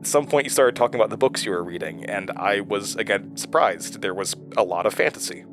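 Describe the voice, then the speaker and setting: Casting: male actor for hesitant, haunted investigator, horror audio drama. Lower register, earnest and understated, faint music bed. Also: animated, lightly acted. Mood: wistful, halting. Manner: halting, stammering in dialogue, low-key and earnest. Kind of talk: intimate audio drama acting and narration.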